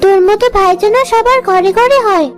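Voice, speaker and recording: A performer voicing a gentle young village girl, a sweet, sincere and forgiving sister in a moral-story cartoon. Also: very high voice, fairly quick. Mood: tender. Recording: music under the voice.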